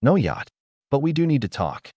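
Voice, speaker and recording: A man reading the lines of a reserved young woman who speaks quietly, politely and guardedly. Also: mid-range voice, wildly swooping pitch, normal speed, husky, no echo, voice pushed up, full character voice.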